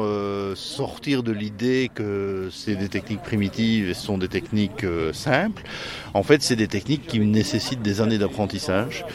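A man answering interview questions and explaining technique in careful, technical detail, often restarting mid-phrase. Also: lowish voice. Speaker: flint-knapping expert.

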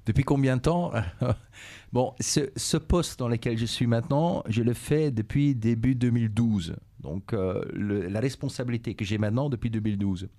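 Man with a Flemish accent, dark voice. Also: medium pitch, normal speed, no room sound. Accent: Flemish Belgian. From Belgium. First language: Dutch. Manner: patient, explanatory, with frequent restarts and rephrasing. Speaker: company director, interview guest. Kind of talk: explaining to schoolchildren.

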